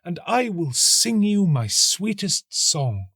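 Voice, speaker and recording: A tender little nightingale from a storybook, voiced by a male narrator. She speaks in a raised, unhurried voice, lyrical and full of earnest, romantic wonder. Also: high voice, very animated, a bit bright, slightly rough, dry recording, studio quality, voice pushed up, full character voice. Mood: pleading. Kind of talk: storybook reading.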